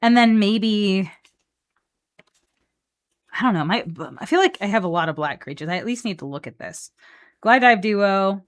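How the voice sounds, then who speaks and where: A woman chatting casually on a live game stream, playful and animated as she thinks out loud. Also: medium pitch, normal speed, long pauses. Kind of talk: casual live-stream chat while building a card deck.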